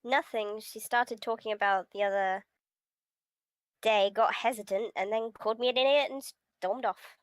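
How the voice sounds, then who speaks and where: A woman's voice: on the high side, fairly even intonation, normal speed. A woman chatting casually on a live stream and reading visual novel dialogue aloud as they play.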